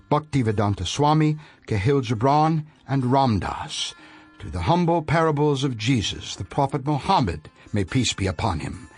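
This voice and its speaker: A man delivering a scripted audiobook introduction as narrator, in a grandiose, solemn manner. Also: a little high.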